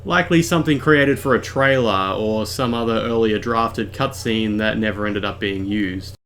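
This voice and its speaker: A man giving a measured, explanatory voice-over for a game video. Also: lowish voice, fairly bright tone, a little hiss or hum. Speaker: video essayist. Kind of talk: scripted game commentary voice-over.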